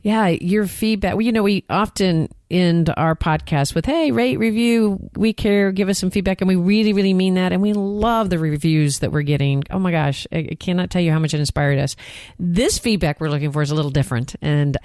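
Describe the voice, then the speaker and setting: Low-voiced Texan woman, podcast co-host. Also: fairly quick, hardly any pauses, darkish tone, no echo, clean studio sound. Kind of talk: casual conversational podcast chat.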